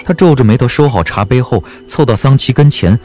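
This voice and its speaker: A man narrating an audiobook drama in a measured, dramatic storytelling manner. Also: medium pitch, expressive, a little mellow, faint music behind.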